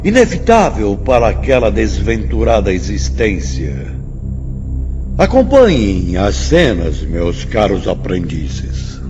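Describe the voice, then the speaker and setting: A man's voice: lowish voice, wildly swooping pitch, takes it slowly, full character voice. A man playing a solemn spirit teacher in a radio drama, narrating in a grave, didactic manner. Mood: grave, moralising. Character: solemn, moralising male spirit teacher addressing pupils. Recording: dull audio with faint music.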